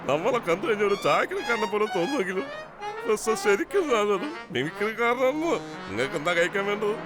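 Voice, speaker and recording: High-pitched, showy cartoon food seller. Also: male voice, big pitch swings, fast talker. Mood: showy patter. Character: chatty tea-stall man who boasts of being a mimic. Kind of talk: children's cartoon comedy dubbing. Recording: loud music bed under the voice.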